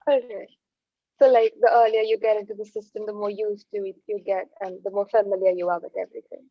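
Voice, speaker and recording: A woman's voice: medium pitch, little pitch movement, fast talker, no room sound. A woman moderating an online panel, handing questions to doctors and affirming briefly. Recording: dull dry online call audio.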